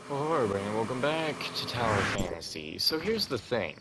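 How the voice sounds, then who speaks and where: A man's voice: mid-range voice, big pitch swings, fast, noisy background. A man hosting a gaming playthrough with casual, slangy and profane commentary. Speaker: gaming video host.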